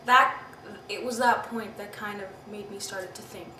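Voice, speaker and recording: A woman's voice: mid-range voice, steady tone, moderate pace, bright, forceful tone. A woman in her twenties from Pakistan, giving a candid, reflective interview and often saying "you know" and "I mean". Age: 20s. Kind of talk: personal interview recollection. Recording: roomy, distant mic, background noise.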